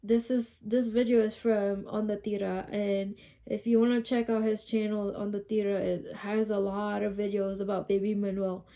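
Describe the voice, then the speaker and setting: A woman's voice: mid-range voice, little pitch movement, moderate pace, mellow. A sick woman with a stuffed nose, sniffling as she gives casual true-crime commentary, reading news quotes and asking viewers questions. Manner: chatty, asks viewers questions.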